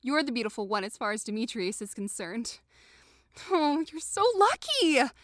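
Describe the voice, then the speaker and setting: A woman's voice: a little high, sing-song, moderate pace, fairly bright tone, no room sound, clean studio sound. A woman playing a lovesick, envious young woman in an audio drama, with a dramatic, self-pitying manner. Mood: envious pleading. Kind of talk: modernized Shakespeare audio drama acting.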